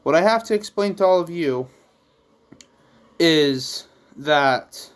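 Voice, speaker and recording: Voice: medium pitch, expressive, takes it slowly, clear, resonant tone. A vlog host who makes manga, talking casually and in a rambling way to viewers, with theatrical touches. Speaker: YouTube host and manga creator.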